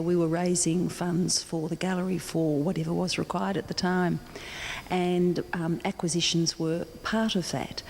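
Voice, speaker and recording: A woman's voice: on the low side, darkish tone. An older woman with an Australian accent answering interview questions off the cuff, hesitating and repeating words as she finds her phrasing. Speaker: support group president and interviewee. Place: large indoor gallery. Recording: echoey hall with clear background noise.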